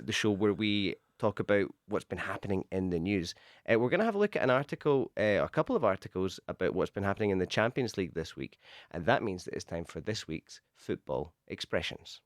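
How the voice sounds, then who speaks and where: A man with a cold and a blocked-up nose, hosting a radio show that teaches English and explaining phrases slowly and patiently. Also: on the low side, big pitch swings, no room sound, clean studio sound. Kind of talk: bilingual radio lesson chat.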